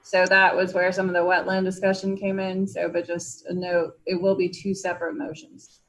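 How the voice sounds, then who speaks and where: A woman's voice: on the low side, completely flat delivery, normal speed. A woman on staff at a public meeting, clarifying procedure in a matter-of-fact official way. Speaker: zoning board staff member.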